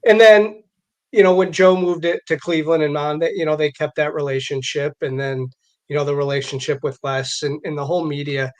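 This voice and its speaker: An American man hosting a casual live interview, talking conversationally and haltingly, often repeating words as he goes. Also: on the high side, steady tone. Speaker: live show host and interviewer.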